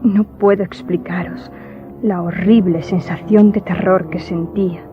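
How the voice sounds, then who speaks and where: Actress as a sorrowful, low-voiced young woman. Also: steady tone, unhurried, natural voice. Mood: fearful remembering. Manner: grave, wistful storytelling. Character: sorrowful young woman recalling childhood horror. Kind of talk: Spanish radio drama acting. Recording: dull lo-fi audio, faint music.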